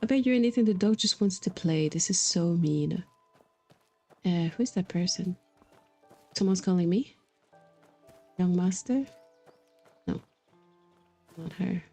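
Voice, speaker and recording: A woman's voice: fairly low pitch, unhurried, long pauses, a little mellow. A woman livestreaming a video game, chatting casually and reading dialogue aloud in a musing, hesitant manner. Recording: music behind the voice. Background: game music.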